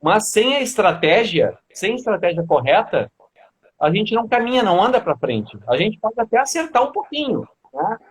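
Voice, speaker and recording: A man hosts a motivational live stream in a husky, somewhat breathy voice. His pitch sits high for a man. He welcomes viewers warmly by name, then turns to question his guest. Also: very animated, moderate pace. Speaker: host.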